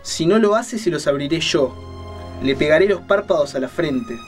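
A man's voice: on the high side, lively intonation, soft background music, lightly acted. Man playing a menacing, coldly courteous killer. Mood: threatening. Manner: formal, deliberate, mockingly polite, interrogating. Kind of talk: dramatized audiobook reading.

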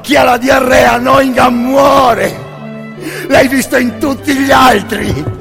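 A man with a gravelly voice performing an intense, incantatory scripted monologue in the second person, repeating phrases between long pauses. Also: very high voice, steady tone, raised voice. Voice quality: gravelly, creaky. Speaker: actor and storyteller of a solo radio theatre monologue. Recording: music bed under the voice. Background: music.

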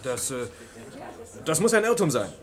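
An actor playing an evasive, nervous defendant in a German radio play, halting and defensive under questioning. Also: male voice, a little high, big pitch swings.

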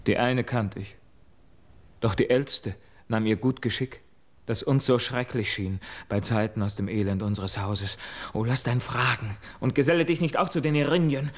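A man's voice: mid-range voice, normal speed, natural voice. An actor playing a guilt-tormented, haunted man in a classical verse radio drama, with brooding, anguished theatrical declamation. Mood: bleak, bitter.

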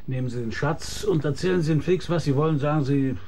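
An actor playing a cynical, world-weary investigator who narrates a radio drama in a dry, laconic, husky voice. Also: male voice, on the high side, fast talker, background noise, lightly acted. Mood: guarded, laconic. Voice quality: gravelly, husky. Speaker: narrator and lead character. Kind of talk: hard-boiled radio drama narration and dialogue.

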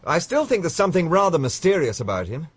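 An actor playing an earnest gentleman investigator in a radio drama, slightly husky. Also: on the high side, sing-song, fast, dry recording. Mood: concerned, chatty.

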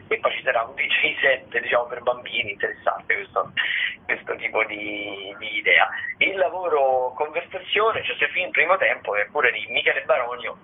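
Tinny-sounding male radio host. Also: medium pitch. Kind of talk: radio events listings presentation, semi-improvised. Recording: tinny phone-like radio sound, faint noise.

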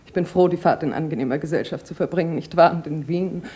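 A low female voice with a breathy edge for a radio drama. She is an elegant, sociable married lady who talks quickly, breezy and persuasive. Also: mellow. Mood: breezy, inviting. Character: brisk, sociable, elegant slim wife. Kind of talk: literary radio play acting.

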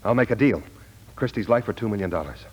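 An American actor playing a hard-boiled war veteran and ex-detective in a 1940s radio noir drama. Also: male voice, fairly low pitch, animated, fast, a little mellow, slightly rough. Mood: defiant. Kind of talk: 1940s radio noir acting and first-person narration. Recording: noisy 1940s radio broadcast recording.

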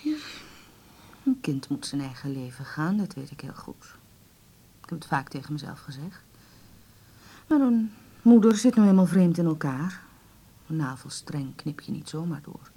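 A husky, anxious middle-aged mother. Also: low-pitched, sing-song, moderate pace, long pauses, dark tone, exaggerated voice. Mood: earnest, tender. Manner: hesitant, sighing, pleading. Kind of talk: naturalistic Dutch radio play acting.

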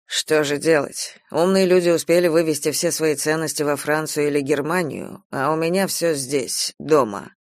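Man as weary, grumbling gentleman uncle, lowered voice. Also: moderate pace, few pauses, no room sound, clean studio sound, lightly acted. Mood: worried.

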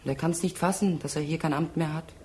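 An actress in a German radio drama playing a wounded, wistful woman who talks in expressive short phrases. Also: low-pitched, fast talker, slight background noise, close to an everyday voice. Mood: detached.

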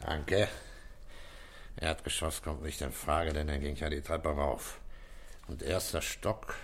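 A man playing a calm, probing police inspector in a radio drama, questioning in a terse, businesslike way. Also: rumbling low, slow pace, heavily acted. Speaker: actor in a detective radio play. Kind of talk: German radio drama acting.

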